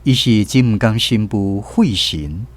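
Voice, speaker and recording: A man with a Taiwanese accent giving expressive, scripted storytelling narration for a radio drama. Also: on the low side, unhurried. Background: plucked guitar music.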